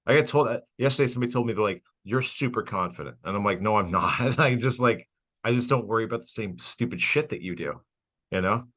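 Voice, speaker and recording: A man's voice: on the low side, fast. A man co-hosting a podcast in casual comedic chat, blunt, profane and joking, acting out bits of dialogue as he tells stories. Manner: animated storytelling with acted-out dialogue. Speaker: podcast co-host.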